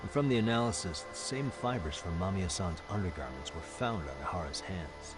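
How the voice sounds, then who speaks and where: A composed, sharp detective in a video game, with a fairly low voice. He lays things out in a measured, deliberate way over loud music. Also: expressive. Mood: firm, analytical. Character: composed, sharp male detective laying out a case. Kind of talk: English game dub dialogue.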